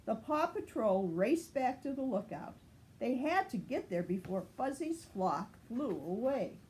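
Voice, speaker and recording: A woman's voice: on the high side, very animated, measured pace, darkish tone, a little hiss or hum, poor audio. An older woman, a grandmother, reading a children's storybook aloud.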